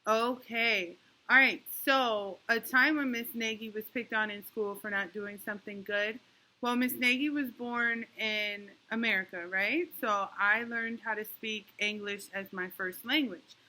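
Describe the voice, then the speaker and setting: A woman teaching a young class online and checking often that the children follow, speaking slowly and patiently. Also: fairly high pitch, bright, forceful tone, poor audio. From New York. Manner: patient, encouraging, talks slowly to kids with many "okay?" checks. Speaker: elementary school teacher.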